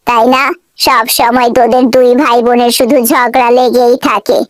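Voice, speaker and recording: A woman plays an exasperated mother in a cartoon, nagging and reproachful. Her voice sits lower than a child's and is recorded close and dry. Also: dry recording, lightly acted. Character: stern, scolding, exasperated mother of two. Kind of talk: children's moral cartoon dub.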